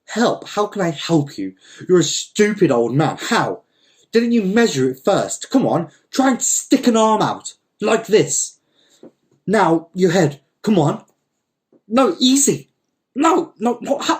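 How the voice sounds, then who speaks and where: A man's voice: medium pitch, lively intonation, normal speed, dark tone, voice pushed up, natural voice. A man voicing a panicky, blustering landowner in a dramatic story, exasperated and repeating his commands. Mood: stunned, frantic.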